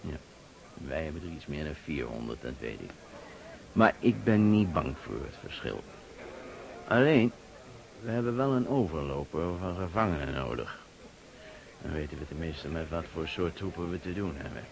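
An actor playing a gruff, shrewd Russian partisan officer in a radio drama, commanding and emphatic. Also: male voice, rumbling low, lively intonation, normal speed, pauses often, heavily acted. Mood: planning, resolute. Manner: commanding, emphatic, grumbling oaths. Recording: noisy old radio play recording.

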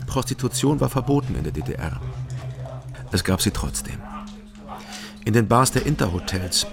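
A man's voice: mid-range voice, animated, normal speed, creaky. A man playing a laconic, world-weary East German with a weakness for drink and love, in a radio crime drama with understated delivery. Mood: brooding. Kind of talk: radio crime drama, dialogue and brooding narration.

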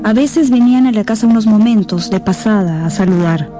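A woman's voice: medium pitch, brisk, music in the background. A woman narrating a radio drama as scripted, dramatic storytelling.

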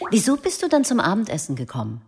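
A woman's voice: medium pitch, huge pitch swings, fast talker, husky, full character voice. A woman acting a frank, seductive European expatriate, probing yet vulnerable, in a German radio drama. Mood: challenging. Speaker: actor in a radio play. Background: loud music, bath water.

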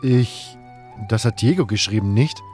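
A man playing a smitten, awkward young adventurer who speaks haltingly in a scripted fantasy audio drama. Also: on the low side, darkish tone, soft background music, natural voice. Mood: shy, flustered. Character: nameless male adventurer, smitten, awkward, self-deprecating hero. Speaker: narrator and lead actor of a fan audio drama. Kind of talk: first-person scripted narration and dialogue.

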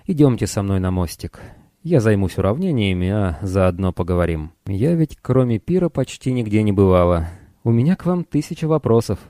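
A man's voice: fairly low pitch, sing-song, fairly quick, mellow, dry recording, clean studio sound. Man reading as a frank young woman starship pilot. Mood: friendly. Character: frank, curious young female starship pilot, almost twenty.

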